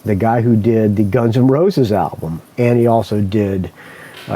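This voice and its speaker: A man's voice: fairly low pitch, warm tone, a little hiss or hum, rough recording. A man hosting a podcast, telling a story in a casual, rambling way, correcting himself often and swearing freely. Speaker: podcast host.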